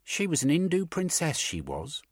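A man voicing a blunt, rough-spoken young woman in an audiobook, with a husky, raised voice. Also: on the high side, wildly swooping pitch, normal speed, no room sound, studio quality, voice pushed up, exaggerated voice.

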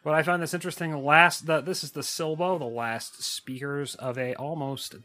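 A man's voice: on the high side, steady tone, moderate pace, a loud crowd. A man hosting a show in a loose, casual chat, introducing clips as he goes. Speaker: show host.

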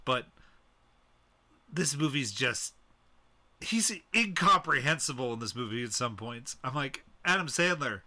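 A man co-hosting a movie podcast in casual chat, sarcastic and quick to laugh. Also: medium pitch, lively intonation, bright, forceful tone. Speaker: podcast co-host.

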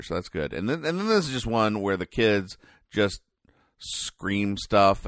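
Male comedy podcast host, deep voice, halting deadpan explainer, close dry recording. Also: clear, resonant tone, dry recording. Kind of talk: comic commentary describing newspaper comic strips.

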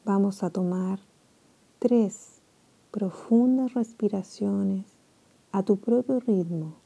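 A woman guiding a sleep meditation in a soft, soothing way, with long pauses between phrases. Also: medium pitch, creaky, husky. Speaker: meditation guide.

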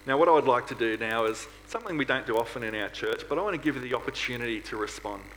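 A man's voice: mid-range voice, fast, fairly bright tone, rough recording. Male preacher with noticeable background noise. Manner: earnest, with rhetorical questions. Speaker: preacher at a church. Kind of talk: sermon to a congregation.

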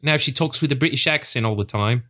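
A man's voice: mid-range voice, fast, pushed and bright. A man co-hosting a comedy podcast, chatting casually in an irreverent, joking way. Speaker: podcast co-host.

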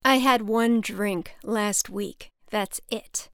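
A woman's voice: mid-range voice, slow, a bit bright, smooth, dry recording, clean studio sound. A woman voicing a distraught, self-pitying older mother who is profane and accusing, in an audiobook story. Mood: bitter, defensive.

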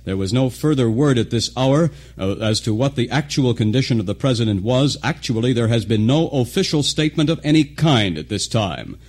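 A male station announcer with a mid-pitched voice presents live news. His delivery is formal and a little wordy. It sounds like a 1960s shortwave broadcast. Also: expressive, a little fast, hardly any pauses, darkish tone.

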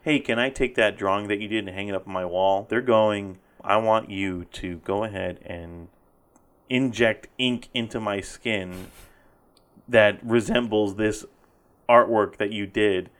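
Enthusiastic lower-voiced man hosting a podcast. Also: a little slow, a bit bright. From Spanish heritage. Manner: enthusiastic, praising, laughs often. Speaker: podcast host. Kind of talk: casual interview chat.

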